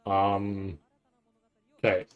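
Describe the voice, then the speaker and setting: Low-voiced man giving casual, halting commentary. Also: completely flat delivery, smooth, narrow, tinny audio. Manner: halting, thinking aloud, frequently repeating himself. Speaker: anime reaction streamer. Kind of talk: casual off-the-cuff trailer breakdown commentary.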